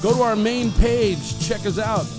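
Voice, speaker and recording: Man, radio promo, high voice, music playing under him. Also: unhurried. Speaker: radio show host, promo. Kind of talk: station promo.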